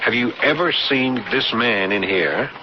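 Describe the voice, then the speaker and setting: An earnest American artist acted by a man speaks in a medium-pitched voice. He is nervous and halting, pleading as he stammers along. It is radio drama with a dull, lo-fi sound. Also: expressive, background noise, natural voice. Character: earnest, nervous male artist searching for someone. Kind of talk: 1980s radio drama acting.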